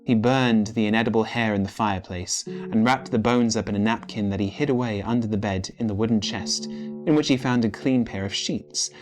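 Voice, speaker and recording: A man reading literary fiction as a storyteller, with measured, theatrical phrasing. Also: on the low side, a little mellow, faint music behind. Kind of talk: dramatic literary narration.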